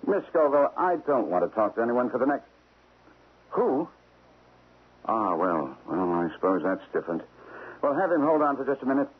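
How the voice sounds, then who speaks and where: An actor playing a two-faced, nervous stockbroker in a radio drama, theatrical and evasive. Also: male voice, medium pitch, big pitch swings, normal speed, long pauses, breathy edge. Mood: gushing, evasive. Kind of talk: old-time radio drama acting. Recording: tinny old radio broadcast.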